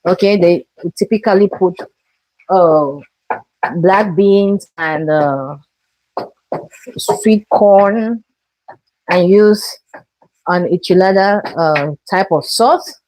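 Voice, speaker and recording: A woman's voice: lowish voice, drawn-out words, long pauses, darkish tone, no echo, low-quality recording. A woman from Cameroon hosting a live cooking stream from her kitchen, talking viewers through each step and greeting them. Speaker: cooking show host.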